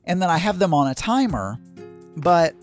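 A man with a Southern US accent talks casually to his viewers, drifting from one thing to the next and correcting himself mid-sentence. His voice sits a little high for a man. Soft music plays faintly behind him. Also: very animated, moderate pace. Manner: rambling, chatty, frequent self-corrections. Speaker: vlogger. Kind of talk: casual chat.

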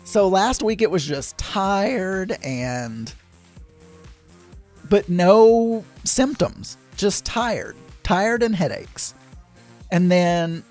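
A man with a hoarse, crackly voice, hosting a podcast in a rambling, chatty, digressive way with some self-deprecating asides. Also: high voice, a little mellow. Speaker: podcast host. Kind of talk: rambling casual chat.

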